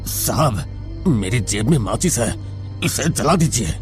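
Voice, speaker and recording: A man's voice: mid-range voice, very animated, unhurried, faint music behind. A man voicing a kindly, sorrowful old caretaker's ghost in a horror story, humble and protective. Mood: urging.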